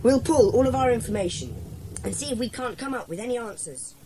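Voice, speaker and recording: A woman playing a bossy, sensible schoolboy leader in a children's audio drama, speaking in a brisk, decisive way. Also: fairly high pitch, animated, lightly acted. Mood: authoritative.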